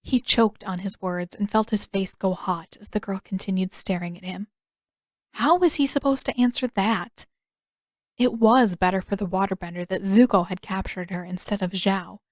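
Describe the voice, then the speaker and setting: A woman's voice: medium pitch, steady tone, normal speed, no echo, studio quality. A woman reading scripted fiction as the narrator of an audio story.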